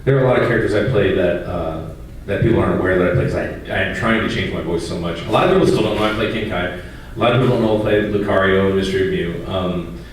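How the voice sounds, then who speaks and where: A man speaking as a voice actor on a convention panel, playful and quick to laugh. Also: low-pitched. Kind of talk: joking panel press conference. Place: large convention panel room. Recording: very echoey room, distant mic, faint noise.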